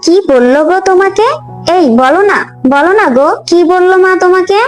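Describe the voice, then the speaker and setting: A woman's voice: very high-pitched, normal speed. A woman voicing a pert, argumentative young daughter-in-law in a fairy-tale cartoon. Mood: eager conspiring. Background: background music.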